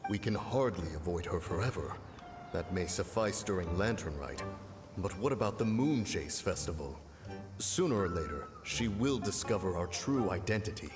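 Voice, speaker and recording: A man playing a calm, scholarly immortal in a video game, speaking in formal, pompous and musing phrases. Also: low-pitched, normal speed, over music. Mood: defensive. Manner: pompous, formal, musing, refers to himself as one. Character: calm, scholarly, self-assured male immortal adeptus. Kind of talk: video game cutscene acting.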